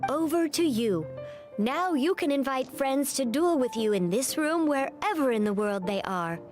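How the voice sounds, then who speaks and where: An actress voicing a polite, scholarly young woman in a video game, speaking in formal, measured phrases. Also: high-pitched, lively intonation, darkish tone. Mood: helpful, courteous. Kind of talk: scripted video game dialogue. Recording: game audio with music underneath. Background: game music.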